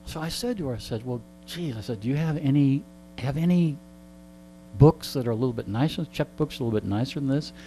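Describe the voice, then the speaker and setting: A man's voice: medium pitch, lively intonation, brisk, dark tone, soft background music, rough recording. A middle-aged or older man telling casual anecdotes in a wry, joking, digressive way. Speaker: interview guest and storyteller. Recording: clear background mains hum.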